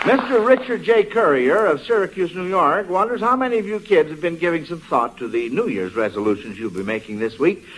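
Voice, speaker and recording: High-pitched 1940s radio quiz host man. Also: expressive, fairly quick, hardly any pauses, fairly bright tone, a faint crowd, low-quality recording. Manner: encouraging, chuckling remarks to children. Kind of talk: 1940s radio panel hosting. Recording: old 1940s radio broadcast.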